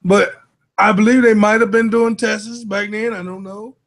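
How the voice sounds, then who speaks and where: A man's voice: sits high, little pitch movement, normal speed, vocal fry, fairly bright tone. A man hosting a casual chat, talking in a loose, slangy way and repeating himself often. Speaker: host.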